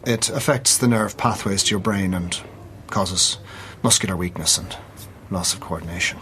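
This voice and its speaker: An actor playing a candid, careful hospital consultant who explains things hesitantly in a radio drama. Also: male voice, low voice, normal speed, sharp tone, slight background noise. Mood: measured. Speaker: doctor.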